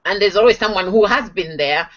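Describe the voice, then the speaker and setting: A woman's voice: mid-range voice, lively intonation, brisk. A woman speaking enthusiastically on a live video call, encouraging others with many fillers. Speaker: online marketing member. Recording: dull lo-fi video call audio.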